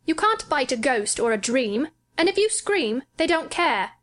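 A woman's voice: sits high, sing-song, unhurried, fairly bright tone, pitched above the performer's own voice, full character voice. A woman voicing a sickly, petulant and solemn young boy in a storybook, earnest and self-absorbed. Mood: thoughtful. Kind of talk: audiobook character dialogue.

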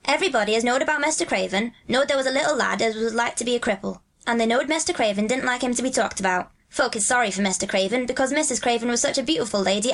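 A woman's voice: fairly high pitch, a bit bright, voice pushed up. An earnest young Yorkshire lad from a storybook, as a woman narrator acts him out. He talks in broad rustic dialect, plain and homely. His manner is kindly and reassuring.

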